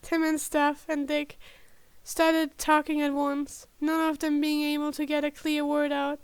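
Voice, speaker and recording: A woman's voice: high-pitched, monotone, a little slow, no room sound. A woman reading fan fiction aloud as an amateur narrator, now and then stumbling and correcting herself. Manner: stumbling over words, self-correcting. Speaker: podfic narrator.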